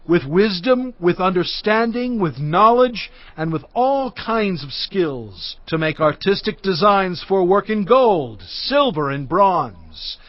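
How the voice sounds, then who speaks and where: Moses, a solemn leader proclaiming to his people in an audio drama. The voice sits high and swings widely in pitch. It is recorded dry in a dull studio sound. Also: very animated, takes it slowly, hardly any pauses, no echo, exaggerated voice. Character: Moses, aged male leader addressing people. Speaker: voice actor. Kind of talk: dramatized scripture reading.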